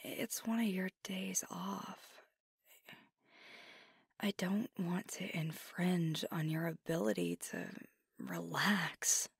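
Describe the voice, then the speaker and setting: A woman voicing a fiery, stubborn werewolf girlfriend in a whispered ASMR roleplay, slow and teasing with small laughs. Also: on the low side, creaky, breathy edge, dry recording, studio quality, full character voice. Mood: sleepy reluctant. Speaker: voice actor.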